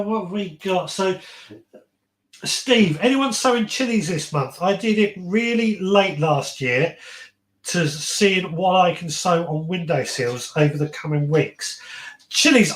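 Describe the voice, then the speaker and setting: A man with a slightly high voice hosts a relaxed gardening phone-in. He is agreeable and hesitant, doubling back on words. The sound is roomy and echoing, as in a shed. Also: unhurried. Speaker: podcast host taking phone-ins. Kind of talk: casual gardening chat.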